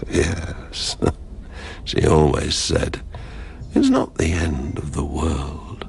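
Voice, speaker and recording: A haunted father who has lost everything, in a poetic radio drama. His voice is very deep and creaky, his delivery slow, halting and bitter. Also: fairly even intonation, darkish tone, full character voice. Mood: rueful. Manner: brooding, halting, bitter verse.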